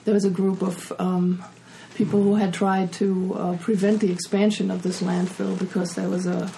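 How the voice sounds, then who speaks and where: Female author guest, German accent, dark relaxed tone, casual storyteller, faint noise behind. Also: medium pitch, normal speed, gravelly, rough recording.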